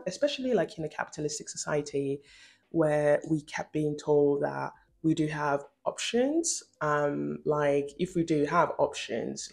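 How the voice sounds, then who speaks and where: A woman's voice: deep voice, vocal fry, darkish tone. A young woman talking casually and emphatically to camera in an informal, slangy video essay style, recorded in a room at home. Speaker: video essayist and channel host.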